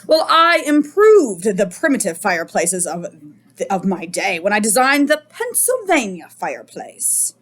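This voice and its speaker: A woman's voice: medium pitch, sing-song, measured pace, a bit bright. A woman voicing a proud, boastful old male inventor in a children's picture book read-aloud, theatrical and pleased with himself. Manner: self-congratulating, theatrical.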